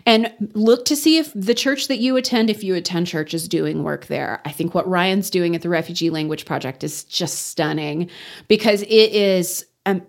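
A woman from the Texas Panhandle, a guest in a radio interview, talking loudly and earnestly, leaning hard on key words. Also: lowish voice, moderate pace, gravelly. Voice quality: loud.

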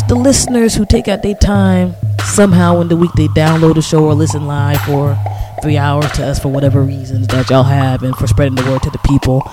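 An American man hosting a radio show, talking casually with informal slang and shout-outs. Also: a little high. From United States. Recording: loud music under the voice. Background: music.

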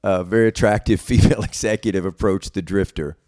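Deep, mellow-voiced man reading a script. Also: brisk, no room sound, studio quality. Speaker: comedy writer reading own script. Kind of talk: reading stage directions and casual chat.

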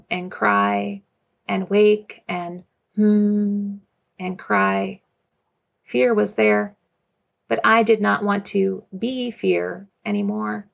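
A woman narrating a personal story in a measured, reflective way, returning again and again to a quiet refrain. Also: medium pitch, creaky, muffled recording.

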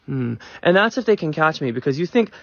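A man's voice: on the high side, big pitch swings, brisk, creaky, fairly bright tone, dry recording. A young man ranting in a casual video, flippant and exasperated. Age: young adult. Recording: dull, lo-fi clip.

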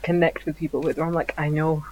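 A woman's voice: low-pitched, flat delivery, quick pace, background noise. Sniffly woman with a cold. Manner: earnest, stumbling restarts. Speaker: podcast co-host. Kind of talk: casual chat.

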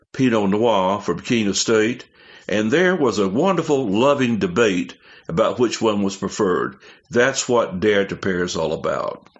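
Folksy man, lo-fi recording. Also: on the low side. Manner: folksy, showman-like delivery. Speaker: travel and food correspondent. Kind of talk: scripted travel report. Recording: dull lo-fi sound.

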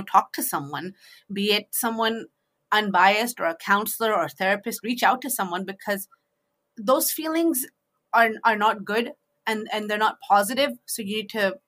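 Bright-voiced woman in conversational advice talk. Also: medium pitch. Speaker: host in a two-person discussion.

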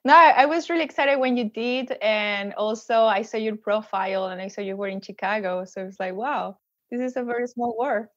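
A woman's voice: a little high, fairly quick, dry recording, lo-fi sound. A woman from Venezuela with a Venezuelan Spanish accent, a podcast guest chatting casually and laughing often. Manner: animated, laughing often, small restarts. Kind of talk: casual interview chat.

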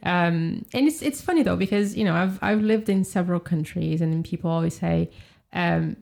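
A woman from Brazil, a podcast guest chatting casually, laughing often and filling pauses with "um". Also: fairly low pitch, gravelly, a little mellow. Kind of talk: casual chat. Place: home in London.

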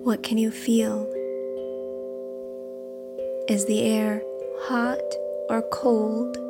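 A woman reading a script as a meditation guide, with a soft, deliberate, instructional delivery. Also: fairly high pitch, flat delivery. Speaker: meditation guide and podcast host. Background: background music.

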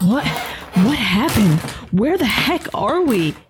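A woman's voice: on the high side, sing-song, slow. A woman playing a curious, teasing, skeptical character in an audio drama. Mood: confused.

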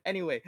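A man from Singapore, a native Mandarin speaker with a Singaporean accent, chatting casually and candidly on a livestream in an animated way. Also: very high-pitched.

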